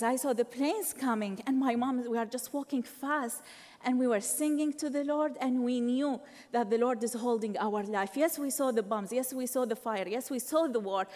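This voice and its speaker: A woman in her forties from Iraq with an Iraqi Arabic accent, giving an earnest, measured faith testimony in a church. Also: a little high, a little mellow, big-room sound. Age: 40s. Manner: earnest, measured, non-native phrasing. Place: church sanctuary.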